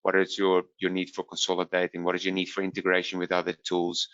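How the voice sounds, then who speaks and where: A man's voice: deep voice, completely flat delivery, fast talker, fairly bright tone, clean tone, dry recording, dull audio. A man from the Netherlands with a Dutch accent, answering webinar questions with many fillers and restarts. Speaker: vendor product specialist.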